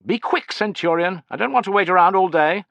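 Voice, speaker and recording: Man playing haughty young Roman officer, high-pitched. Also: fairly quick, gravelly, fairly bright tone, dry recording, dull audio. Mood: needling, contemptuous. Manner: imperious, needling, impatient. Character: haughty, petulant young Roman tribune, spoilt commander. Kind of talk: dramatic audiobook character acting.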